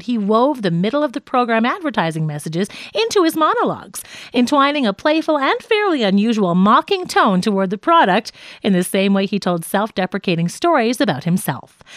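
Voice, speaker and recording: A woman narrating a scripted nonfiction audiobook in a measured, articulate way. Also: a little high, dry recording, clean studio sound.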